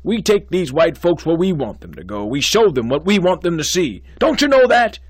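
A man voicing an excitable, blustering middle-aged male college head who scolds and shouts in a frantic, demanding way. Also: medium pitch, a little slow, husky, no echo, studio quality, pitched above the performer's own voice. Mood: scolding.

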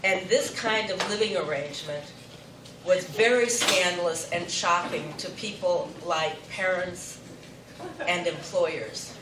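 A woman's voice: on the low side, slow. An older woman telling a personal story to a live audience with wry, self-mocking humor. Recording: roomy, distant, noisy live recording.

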